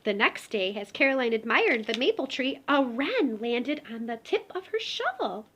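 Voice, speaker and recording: A woman's voice: a little high, big pitch swings, normal speed, sharp tone, a little hiss or hum. A woman reading a children's picture book aloud expressively, talking directly to young listeners. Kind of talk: expressive children's storybook read-aloud with asides.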